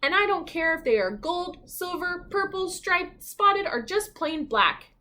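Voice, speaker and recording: A woman's voice: very high voice, animated, measured pace, sharp tone, pitched above the performer's own voice, exaggerated voice. A woman voicing a proud, carefree male raven from a storybook in a self-assured manner.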